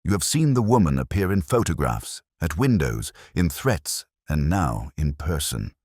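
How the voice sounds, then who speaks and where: A man voicing a grave, confident gentleman detective in a storybook, with measured, formal, authoritative speech. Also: rumbling low, big pitch swings, slightly rough, no room sound, studio quality, exaggerated voice. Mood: assured, explanatory. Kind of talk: audiobook character dialogue.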